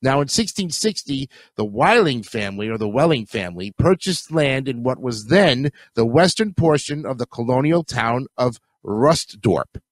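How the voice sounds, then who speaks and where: A man talks through a casual solo podcast, his voice stuffy and nasal from a cold. He rambles in a chatty way, correcting himself mid-sentence, at a middle pitch. The recording is close and dry, with no room sound. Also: sing-song, normal speed, few pauses, no echo. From Queens, New York. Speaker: podcast host.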